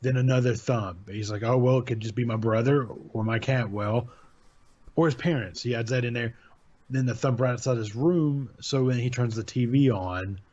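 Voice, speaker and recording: A man's voice: medium pitch, moderate pace, a little mellow. A young man hosting a casual chat about horror stories, rambling and laid-back, correcting himself as he goes, on a desk microphone. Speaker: channel host reviewing horror stories. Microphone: desk mic with pop filter. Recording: dull lo-fi home recording.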